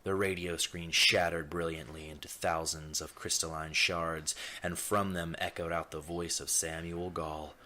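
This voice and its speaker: A man playing a self-important, brooding ponderer, narrating his thoughts in wordy, deadpan scripted first person. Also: low-pitched, measured pace, hardly any pauses. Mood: brooding, musing.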